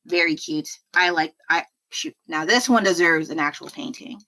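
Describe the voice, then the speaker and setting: Low-voiced woman chatting while she draws. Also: moderate pace, sharp tone. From United States. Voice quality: low voice for her size. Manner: rambling, self-deprecating, laughs often. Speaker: livestreaming artist. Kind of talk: casual chat while drawing. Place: home desk, indoors.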